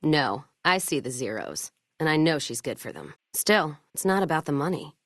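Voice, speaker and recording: A woman playing a hard-drinking, sharp-tongued American caravan owner in a post-apocalyptic western game, with blunt, sardonic, folksy profanity. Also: low-pitched, big pitch swings, normal speed, no room sound, studio quality, full character voice. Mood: defiant, irritated. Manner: blunt, sardonic, profane frontier drawl with folksy turns of phrase. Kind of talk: video game character dialogue.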